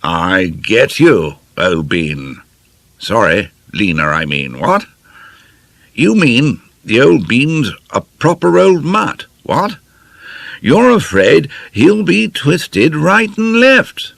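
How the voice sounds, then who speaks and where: A man voicing a loud, silly upper-class Englishman who keeps tacking "what?" onto his sentences. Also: mid-range voice, very animated, takes it slowly, creaky, dry recording, pitched above the performer's own voice, full character voice. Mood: blustering. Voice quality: braying, ends lines with "what?".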